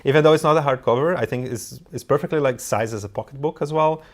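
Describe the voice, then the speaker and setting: A man with a Brazilian accent hosting a live book club stream, talking in a relaxed, chatty way with fillers and restarts. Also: mid-range voice, fast. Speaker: livestream host. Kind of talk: casual live chat.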